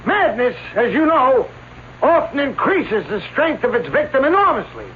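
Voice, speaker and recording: High-voiced, florid stage escape artist. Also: male voice, very animated, moderate pace. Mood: proud, declamatory. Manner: florid, declamatory, addressing an audience. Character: theatrical male escape artist, proud, florid stage showman. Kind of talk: radio drama acting. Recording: tinny, noisy old radio recording.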